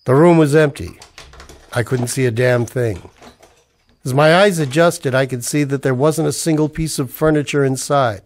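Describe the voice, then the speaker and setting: A man's voice: medium pitch, normal speed, slight background noise, close to an everyday voice. An actor playing a weary, cynical man in a scripted radio-style noir drama. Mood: brooding. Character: weary, cynical, down-on-his-luck man narrating a noir tale. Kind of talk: scripted noir narration in the manner of old radio plays.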